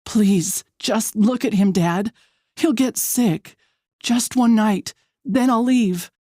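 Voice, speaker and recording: Woman voicing a desperate young mother, high and pleading. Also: slow, dark tone, no room sound, clean studio sound. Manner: pleading, begging.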